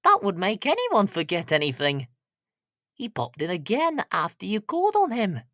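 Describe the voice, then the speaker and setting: Man playing a kindly postmistress, storybook character, high-pitched, fussy, gossipy, singsong. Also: huge pitch swings, normal speed, fairly bright tone, no room sound, studio quality, exaggerated voice. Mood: chatty. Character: kindly, chatty village postmistress.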